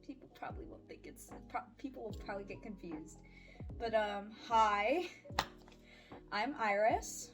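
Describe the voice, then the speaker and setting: A woman's voice: medium pitch, a little slow, over music, poor audio. A woman in her early twenties giving a casual personal update in an awkward, self-deprecating, giggly, rambling way. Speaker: audio roleplay voice creator and vlogger.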